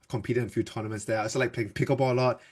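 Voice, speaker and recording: A man from Singapore talks through a tech webinar in a relaxed, chatty way. He often restarts a sentence and fills pauses with "uh" and "like" while he explains his slides. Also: mid-range voice. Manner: loose and conversational, with frequent fillers and restarts. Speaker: developer advocate presenter. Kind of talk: casual tech presentation.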